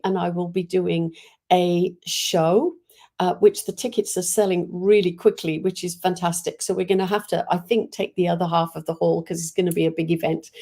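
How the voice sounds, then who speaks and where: A woman's voice: mid-range voice, warm tone. A woman chatting casually and enthusiastically to viewers on a livestream, rambling from one thought to the next. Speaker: spiritual medium hosting a live online chat.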